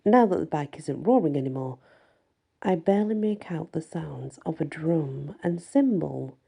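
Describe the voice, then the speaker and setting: A woman reading a first-person fantasy story as soft ASMR audiobook narration. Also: low-pitched, animated, measured pace, gravelly, breathy edge. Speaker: story reader.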